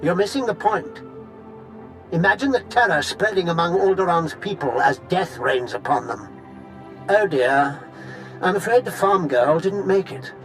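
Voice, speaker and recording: An actor playing a gloating, sadistic Sith villain in a video game, taunting with theatrical, mock-polite menace. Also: male voice, sits high, animated, unhurried, a bit bright, faint music behind, poor audio. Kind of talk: video game cutscene acting.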